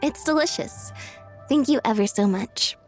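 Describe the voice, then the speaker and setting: Woman playing a polite reserved girl in her youth, fantasy game, slightly high voice, music behind. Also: moderate pace, natural voice. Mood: politely appreciative. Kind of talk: animated fantasy game acting.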